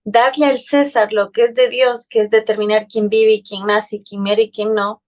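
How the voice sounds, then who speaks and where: A woman from Ecuador hosts the interview over a video call. She picks up her guest's points with engaged, reflective remarks, her voice sitting fairly high. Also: flat delivery, moderate pace. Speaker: host. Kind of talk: interview chat.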